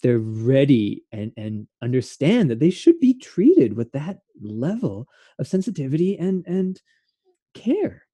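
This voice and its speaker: A man's voice: mid-range voice, huge pitch swings, measured pace, warm tone, no room sound. A man, a theatre artist, hosting an earnest and reflective conversation. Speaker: interview host, theatre artist.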